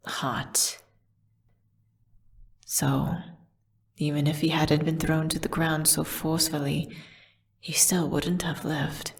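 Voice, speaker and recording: A woman playing a condemned witch who solemnly recounts her tragic story in a hushed, breathy, measured ASMR audio drama style. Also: low voice. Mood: grave, anguished.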